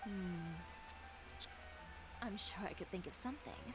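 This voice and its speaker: A woman's voice: medium pitch, unhurried, loud music behind the voice, lightly acted. A woman voicing a composed, confident female fashion designer and boutique owner in a studio game. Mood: businesslike.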